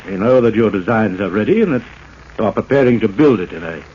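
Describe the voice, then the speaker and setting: A man's voice: lowish voice, expressive, fast, lightly acted. Cool, pushy crook played by a man, muffled radio. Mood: cool, knowing. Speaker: radio drama actor. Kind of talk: 1940s radio drama acting. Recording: dull, noisy old radio broadcast.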